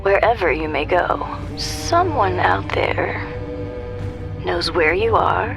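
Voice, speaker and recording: An actress playing a taunting, menacing female villain, with drawn-out phrases that sink into breathy near-whispers in an audio drama. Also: on the low side, expressive, over music, exaggerated voice. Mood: mocking, sinister.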